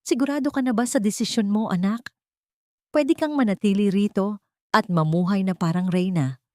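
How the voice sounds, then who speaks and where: A woman voicing a gentle, concerned elderly father in an audiobook drama. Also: mid-range voice, normal speed, dry recording, clean studio sound, close to an everyday voice.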